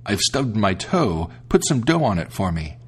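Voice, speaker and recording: A man doing a sly gruff wolf. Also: fairly low pitch, sing-song, normal speed. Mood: menacing. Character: gruff cunning male wolf villain.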